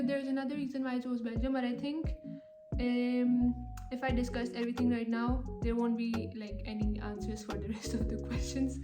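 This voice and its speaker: Chatty young woman, echoey room, music. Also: on the high side. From Pakistan. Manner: chatty, many fillers like "like" and "um". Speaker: vlogger. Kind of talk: casual Q&A chat to camera.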